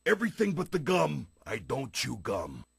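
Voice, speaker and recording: An actor voicing a shifty, grumbling candy factory inspector with a raspy, gravelly voice in a cartoon mystery game. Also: male voice, medium pitch, huge pitch swings, normal speed, fairly bright tone, no room sound, studio quality, heavily acted. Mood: polite.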